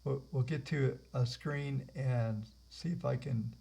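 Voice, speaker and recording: A man hosting and leading a live software training webinar over a video call, rambling with many fillers and restarts. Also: mid-range voice, slow. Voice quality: gravelly. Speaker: host and trainer. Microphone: computer mic on a video call.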